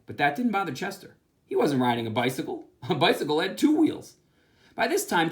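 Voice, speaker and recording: A pastor reading a children's book aloud, telling it expressively and asking his young listeners questions. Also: a little high. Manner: expressive storytelling with asides to listeners.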